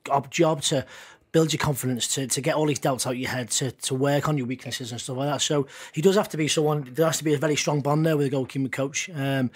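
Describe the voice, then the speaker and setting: A man's voice: mid-range voice, fairly even intonation, quick pace, husky. A man giving his view in a casual football podcast chat, chatty and loose, often repeating words. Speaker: podcast pundit.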